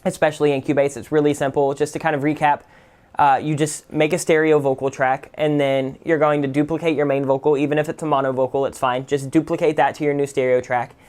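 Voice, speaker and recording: A man hosting a music production tutorial, explaining software casually and informally without a script. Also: on the high side, level tone, fast, smooth. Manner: informal, explanatory, full of "like" and "kind of".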